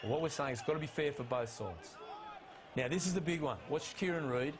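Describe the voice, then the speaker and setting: A man analyzing rugby match footage in a studio, emphatic and pushing his pitch up to stress each call. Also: expressive, brisk, noisy background. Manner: emphatic, rises in pitch to stress key calls.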